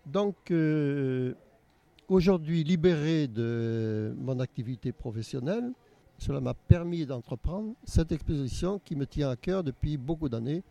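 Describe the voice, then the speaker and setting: A man's voice: on the high side, measured pace, creaky, warm tone, lo-fi sound. An older man from Nice, the organizer of a local art exhibition, answering interview questions hesitantly with frequent repeats. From Nice, France.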